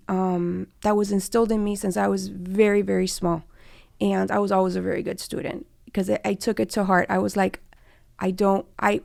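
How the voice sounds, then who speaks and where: A woman's voice: medium pitch, gravelly, a little mellow, no echo, clean studio sound. A woman in her forties from Cuba, telling her own story conversationally as an interview guest. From born in Cuba, came to the US as a child. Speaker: guest, immigration lawyer and law firm partner.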